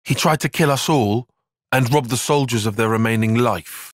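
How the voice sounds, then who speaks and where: A man playing a cerebral, earnest young soldier and strategist in a video game, speaking in precise, formal, analytical language and quick to exasperation. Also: mid-range voice, no room sound, clean studio sound, close to an everyday voice. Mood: somber. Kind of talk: video game cutscene voice acting.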